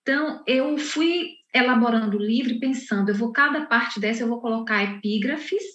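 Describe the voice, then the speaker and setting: A woman's voice: on the high side, a bit bright. A woman from Salvador, a poet and professor, speaking unhurriedly at an online book launch and often restarting her sentences. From Salvador, Bahia, Brazil. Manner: unhurried, with frequent restarts and fillers. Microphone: video call. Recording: muffled, roomy video call audio.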